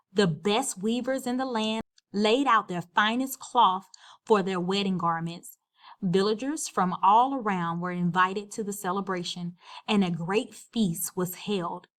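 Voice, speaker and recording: A woman narrating a children's storybook as a read-aloud, measured and expressive. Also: mid-range voice. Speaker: storybook reader.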